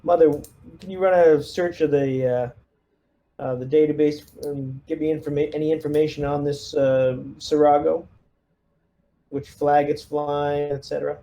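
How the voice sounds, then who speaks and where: A man's voice: a little high, level tone, normal speed, warm tone. A man playing a tabletop roleplaying game, speaking casually and hesitantly in an understated way, with some background noise. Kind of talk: casual in-character game chat. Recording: background noise, room ambience.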